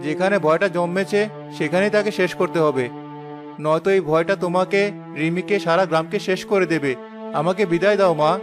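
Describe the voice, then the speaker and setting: A man's voice: sits high, moderate pace, over music, lightly acted. A man playing a haunted, resigned young village son in a horror cartoon, solemn and fatalistic. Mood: resigned calm.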